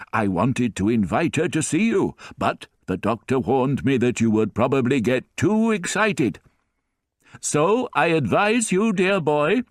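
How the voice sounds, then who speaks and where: A man voicing an elderly grandfather who speaks slowly, fondly and with emphasis. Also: medium pitch, no room sound, studio quality, pitched above the performer's own voice. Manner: slow, fond and emphatic. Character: doting elderly grandfather, tearful and warm.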